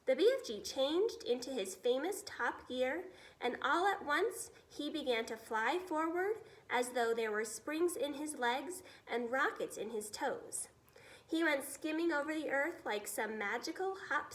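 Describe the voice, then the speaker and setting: Animated high-pitched woman reading a storybook. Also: low-quality recording. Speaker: teacher reading aloud to children. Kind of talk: expressive storybook read-aloud.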